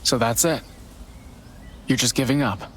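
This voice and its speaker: A man's voice: mid-range voice, measured pace, slight background noise, natural voice. An actor playing an anxious, pleading young man haunted by family trauma in a dramatic video game. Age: young adult.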